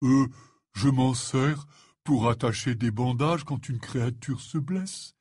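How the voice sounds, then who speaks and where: Casting: male narrator as a gruff, sentimental giant gamekeeper in an audiobook, tipsy, husky, slow and halting. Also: mid-range voice, a little mellow, dry recording, studio quality. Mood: offhand. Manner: halting, repeating words, slurred by drink.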